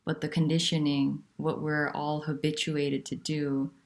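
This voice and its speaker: A woman teaching about nonduality, talking in a soft, unhurried and candid way with pauses. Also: low voice, flat delivery. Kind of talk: reflective spiritual talk.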